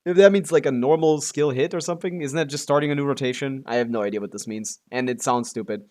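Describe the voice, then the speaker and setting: A man's voice: mid-range voice, fast talker, darkish tone. A man chatting casually as he livestreams a video game, rambling and complaining with plenty of swearing. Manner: profane, complaining. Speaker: live streamer playing a video game. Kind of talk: casual rambling gaming chat with viewers.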